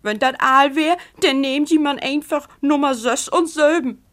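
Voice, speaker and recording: A woman voicing a fretful, tearful, complaining household woman in a story, with a Northern German Low German accent. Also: moderate pace, a bit bright. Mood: tearful grumbling.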